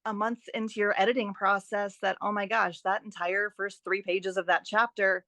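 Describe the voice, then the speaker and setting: A woman's voice: mid-range voice, little pitch movement, normal speed, bright, forceful tone, no echo. A woman giving encouraging, emphatic advice as an author and writing teacher in a conversational podcast interview. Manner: enthusiastic, encouraging, emphatic repetition.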